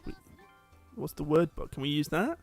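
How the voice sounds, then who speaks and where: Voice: mid-range voice, sing-song, moderate pace, faint music behind. A let's play co-host giving casual, chatty gameplay commentary.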